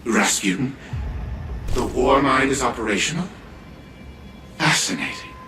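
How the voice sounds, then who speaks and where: An actor playing an arrogant old scientist turned artificial mind in a video game, formal and condescending. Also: male voice, mid-range voice, expressive, measured pace, a loud crowd, lightly acted. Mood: intrigued, grandiose. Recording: loud music under the voice.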